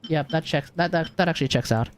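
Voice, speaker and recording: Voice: mid-range voice, fast talker, a little hiss or hum. An Australian streamer giving casual gaming commentary, muttering to themselves and mildly swearing as they go. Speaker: livestream host, gamer. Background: video game music.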